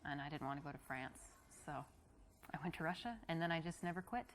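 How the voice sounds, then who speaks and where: An actress playing a calm, modest young American woman on a date in a live-action game. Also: low-pitched, brisk. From United States. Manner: calm, modest, brief replies.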